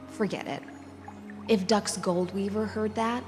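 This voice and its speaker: A woman's voice: medium pitch, steady tone, slow, close to an everyday voice. An actress playing an aloof, teasing, languid noblewoman of the sea in a game, with a cool, lofty manner. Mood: nonchalant. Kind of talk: game character voice acting. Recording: long reverb, music behind. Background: music.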